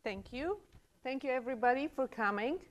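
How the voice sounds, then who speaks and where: A woman's voice: sits high, lively intonation, brisk, a little hiss or hum. A woman in her forties from Bulgaria with a Bulgarian accent, earnestly giving a conference talk at a podium mic in a small room. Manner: earnest, gestures with both hands. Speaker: conference speaker. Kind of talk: conference presentation.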